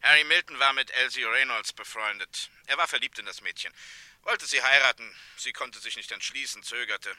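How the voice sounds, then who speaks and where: An actor playing a stern, controlled state prosecutor in a radio crime play, speaking in clipped, matter-of-fact phrases. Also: male voice, medium pitch, brisk, bright, forceful tone, no echo. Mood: cool, official.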